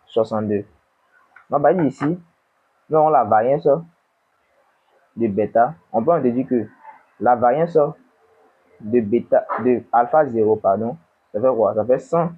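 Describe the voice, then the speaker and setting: A man's voice: mid-range voice, breathy edge. Man with a cold, tinny recording. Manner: slow, dictating numbers, asks and answers himself. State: has a cold. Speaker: econometrics tutor. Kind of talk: screen-recorded worked exercise.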